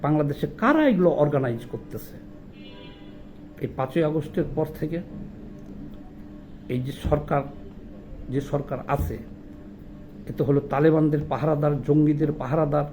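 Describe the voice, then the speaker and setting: Male political commentator, Bangladeshi accent, high-pitched, indignant and emphatic, faint music. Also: normal speed, pauses often, darkish tone, rough recording. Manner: indignant, emphatic, rhetorical questioning. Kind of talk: impassioned political monologue.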